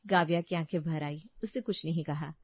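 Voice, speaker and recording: A woman's voice: low-pitched, fairly quick, husky, no echo, highs cut off. A woman narrator reading a scripted family story as a storyteller.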